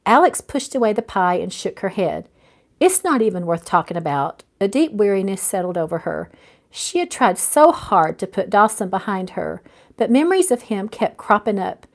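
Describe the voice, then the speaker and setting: A woman's voice: mid-range voice, sing-song, normal speed. A woman author reading their own romance novel aloud in a storytelling narration.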